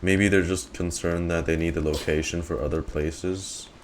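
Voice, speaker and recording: Low-voiced man streaming a game. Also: fairly even intonation, moderate pace, noisy background. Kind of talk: casual livestream gameplay commentary.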